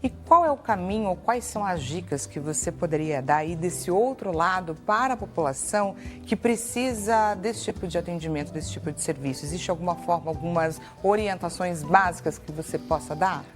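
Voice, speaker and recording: A woman's voice: on the low side, expressive, moderate pace, hardly any pauses, over music. A Brazilian woman hosting a TV interview, asking polite, formal questions. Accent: Brazilian Portuguese. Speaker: TV host.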